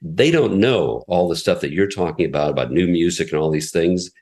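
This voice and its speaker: Middle-aged guy with a really deep low voice, explaining stuff carefully, lots of restarts. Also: lively intonation, darkish tone. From United States. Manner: measured, explanatory, with frequent restarts. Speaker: radio station president, guest. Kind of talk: conversational interview answers.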